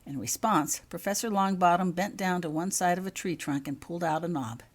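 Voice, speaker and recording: A woman narrating fiction in an expressive storybook style, reading as the author of the tale. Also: fairly low pitch, a little fast.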